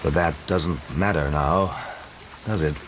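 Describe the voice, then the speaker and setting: Sly dockside stranger, noisy rain. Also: male voice, low-pitched. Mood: slyly menacing. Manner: hesitant, halting. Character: sly injured male dockside stranger, falsely helpless. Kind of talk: radio drama acting.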